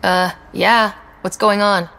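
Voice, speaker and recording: An actress playing a young, direct space explorer in a video game, with a casual, inquisitive, wry way of talking. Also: female voice, mid-range voice, flat delivery, slow, creaky, bright, forceful tone, exaggerated voice. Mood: concerned. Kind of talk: scripted game dialogue.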